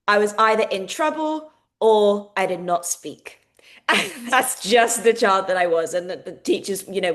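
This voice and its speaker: A woman, a guest on a podcast, reflecting openly on her childhood and diagnosis. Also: mid-range voice. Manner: open, reflective, many fillers. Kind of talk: candid personal account.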